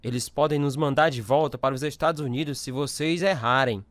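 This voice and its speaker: A man's voice: mid-range voice, brisk, fairly bright tone, no echo, natural voice. A man playing a stern, hard-nosed military father in a radio drama.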